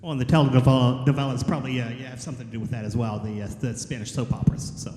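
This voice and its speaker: A man moderating a convention panel, asking halting questions with repeated words. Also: mid-range voice, fairly even intonation, dark tone. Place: large convention hall. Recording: echoey convention hall.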